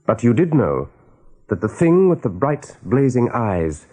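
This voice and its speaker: A man narrating a radio play as a dramatic storyteller, with a husky voice. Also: mid-range voice, big pitch swings, a little slow, mellow.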